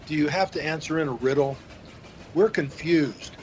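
A man's voice: on the high side, normal speed, a little hiss or hum, lightly acted. A man playing an earnest, puzzled boyfriend in an audio fantasy drama.

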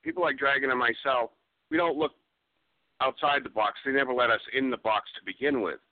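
A man's voice: medium pitch, brisk, no echo. Man chatting on a tinny phone line. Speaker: special effects artist, interview guest. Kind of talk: casual interview chat.

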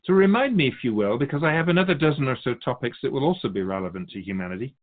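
A man plays a wise spirit Keeper in a spiritual talk show, patient and gently chiding. His mid-pitched voice moves with lively intonation as he teaches in measured, philosophical phrases. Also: phone-quality sound, lightly acted. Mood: gentle, encouraging.

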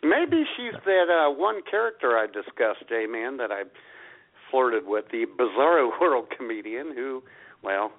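A man's voice: mid-range voice, very animated, measured pace. A man co-hosting an internet radio show, trading wry, mocking banter about the news over a thin, phone-like sound.

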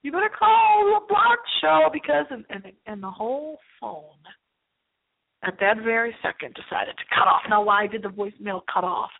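A woman's voice: a little high, lively intonation, normal speed, vocal fry. A woman hosting a paranormal radio show in a rambling, theatrical monologue, repeating phrases for emphasis. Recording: thin, narrow phone-line sound.

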